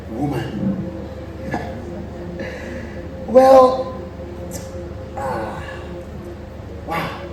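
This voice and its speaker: A man's voice: sits high, exaggerated voice. A Nigerian man acting a boastful, teasing lord in a stage play, with exclamatory delivery. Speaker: student stage actor. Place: large indoor theatre stage. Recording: very echoey theatre, distant, clear noise.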